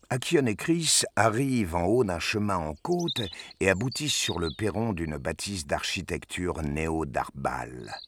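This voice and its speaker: A man's voice: deep voice, background noise. A man narrating a scripted adventure story.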